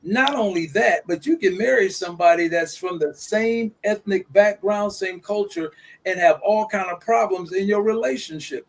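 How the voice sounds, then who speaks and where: A man holds forth in an easygoing discussion. His voice sits high for a man. He circles back and repeats his key phrases with a preacher's rhythm. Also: low-quality recording. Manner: preachy, repeats points for emphasis. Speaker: guest speaker. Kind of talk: casual discussion.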